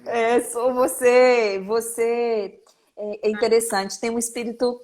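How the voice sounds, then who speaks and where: A woman's voice: fairly high pitch, little pitch movement, slow pace, slight background noise. A middle-aged woman hosting a live legal talk stream, chatty and laughing easily. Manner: warm, chatty, laughs often. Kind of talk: casual live-stream chat.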